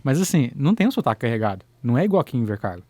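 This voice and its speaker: Fast-talking man, hesitant and repetitive. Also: medium pitch, very animated, warm tone, dry recording. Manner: hesitant, repeats words. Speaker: radio host. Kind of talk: casual chat. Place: radio studio.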